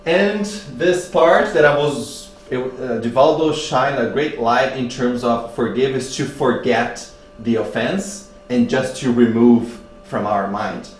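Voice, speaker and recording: Halting lower-voiced man in an echoey room. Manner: halting, searching for words. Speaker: speaker at a spiritist public meeting. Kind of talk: religious talk with storytelling. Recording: roomy echo, faint hum and noise.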